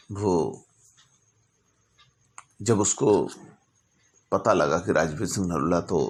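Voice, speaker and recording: A man narrating stories informally as an astrologer, speaking deliberately in short phrases broken by pauses. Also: low voice, creaky. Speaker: astrologer telling client stories.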